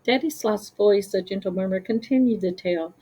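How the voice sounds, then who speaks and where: Lady narrating a kids bedtime story, mellow voice, careful expressive reading. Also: medium pitch, fairly quick, slightly rough. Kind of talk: scripted children's story narration.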